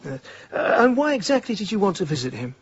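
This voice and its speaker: An actor playing an earnest, mildly sardonic middle-aged English shelter worker, hesitant and dry, in a radio comedy-drama. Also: male voice, high voice, very animated, quick pace, husky, dull audio, exaggerated voice. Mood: wary, dry.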